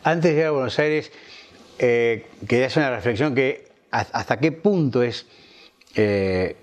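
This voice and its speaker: Rioplatense man interviewing, medium pitch. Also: normal speed. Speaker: interviewer on a literary television programme. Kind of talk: conversational interview.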